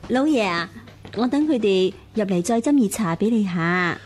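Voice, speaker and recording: An actress playing a coy, flirtatious, fawning maidservant in a radio drama. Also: female voice, mid-range voice, expressive, moderate pace, a little mellow, close to an everyday voice. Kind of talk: Cantonese radio drama acting.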